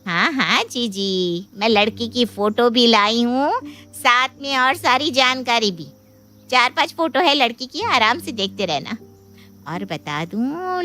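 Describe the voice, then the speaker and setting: A woman's voice: fairly high pitch, exaggerated voice. A woman voicing a boastful, bustling younger sister and matchmaker in a moral story, with a gushing, persuasive manner. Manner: gushing, persuasive, animated phrasing. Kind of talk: dramatised Hindi moral story dialogue.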